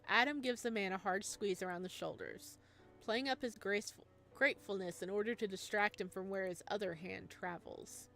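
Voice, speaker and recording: A woman's voice: mid-range voice, moderate pace, creaky, a bit bright, faint music behind. A woman playing a game on her channel, reading its story aloud as dramatic narration mixed with casual commentary.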